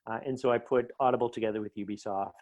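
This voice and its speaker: A man's voice: medium pitch, steady tone, very fast, darkish tone, muffled recording. A man talking conversationally as an interview guest, chatty and enthusiastic, telling anecdotes about his creative work.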